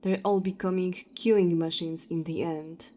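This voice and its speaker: A woman playing a cold, ruthless vampire hunter in an audio roleplay, speaking with deliberate, taunting contempt. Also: fairly low pitch, steady tone, mellow, natural voice. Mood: dismissive, ruthless.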